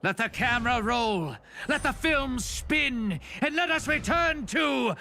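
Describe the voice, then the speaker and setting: High, creaky, eerie film director. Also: male voice, expressive, slow, sharp tone, a little hiss or hum, full character voice. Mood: eerie, commanding. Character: eerie theatrical male director of a horror picture show. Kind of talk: anime game voice acting.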